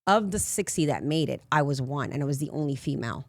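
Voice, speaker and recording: A raspy woman in her forties, a life coach telling candid personal stories in a casual interview. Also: low voice, lively intonation, fast, no echo. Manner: candid, rambling storyteller with frequent asides. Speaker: life coach, podcast guest.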